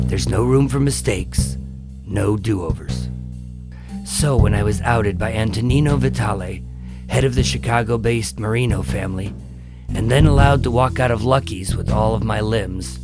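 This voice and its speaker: An actor playing a wary, wry undercover FBI agent in a noir-like radio drama. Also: male voice, lowish voice, natural voice. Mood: grim, wry. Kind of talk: noir-like scripted narration and radio drama acting.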